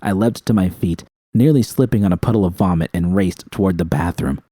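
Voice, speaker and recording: Low, mellow male audiobook narrator. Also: fairly quick, no echo. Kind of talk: dramatic first-person fiction narration, audiobook reading.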